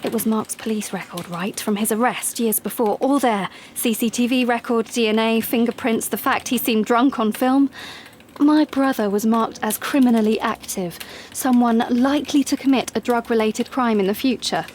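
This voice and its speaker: Actress doing nervy young brit woman in an audio play, highish voice, earnest n halting, bit of noise behind. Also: a little mellow, natural voice. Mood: tense, confiding. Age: young adult. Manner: hesitant, earnest, pleading. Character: anxious, well-meaning young woman working in surveillance. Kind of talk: audio drama monologue and dialogue.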